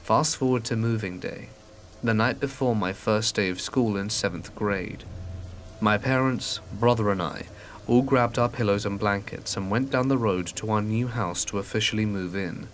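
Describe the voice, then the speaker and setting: Low-voiced man narrating over faint rain. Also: normal speed, a little hiss or hum. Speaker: narrator of submitted stories. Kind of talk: calm scripted horror narration. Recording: faint rain ambience behind.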